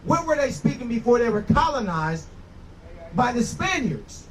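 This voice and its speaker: A man's voice: extremely high pitch, very animated, normal speed, crowd noise. A man street preacher debating in an insistent, instructive way, often repeating his points, recorded from a distance outdoors. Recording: distant mic, faint outdoor street noise.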